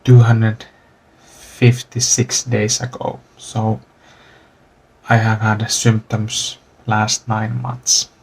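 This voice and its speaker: A man in his thirties from Finland, telling his own story as a patient, halting and searching for words. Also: fairly low pitch, completely flat delivery, slightly rough. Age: 30s. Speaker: patient giving testimony. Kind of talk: recorded personal testimony.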